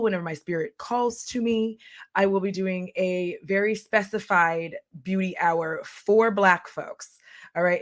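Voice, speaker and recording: Hoarse woman streamer, young adult, slightly low pitch; candid, rambling livestream chat. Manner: chatty, candid, rambling with fillers. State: hoarse, losing her voice. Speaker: streamer and beauty commentator.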